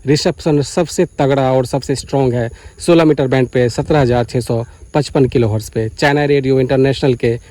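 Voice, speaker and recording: A man's voice: mid-range voice, fairly even intonation, a little fast, few pauses, dark tone. A man from India, explaining things methodically in a vlog tutorial and repeating key details. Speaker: vlogger presenting a radio tutorial. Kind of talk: explanatory vlog commentary while demonstrating. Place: outdoors beside farm fields.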